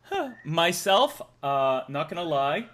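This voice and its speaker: A man's voice: a little high, big pitch swings, takes it slowly, a bit bright. A man chatting on a live stream, rambling playfully and reading out viewer donations. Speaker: livestreamer.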